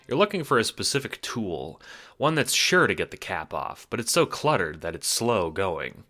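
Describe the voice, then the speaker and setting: A man's voice: lowish voice, sing-song, normal speed, sharp tone, soft background music. A man narrating an audio drama in the second person with a wry, theatrical, sardonic manner.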